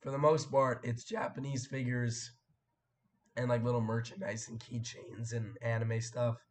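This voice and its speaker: A young man hosting a casual live stream, his voice hoarse and cracking after a sore throat, rambling and clearing his throat as he chats with viewers. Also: mid-range voice, little pitch movement, moderate pace. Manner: rambling, chatty, frequent fillers and throat clearing.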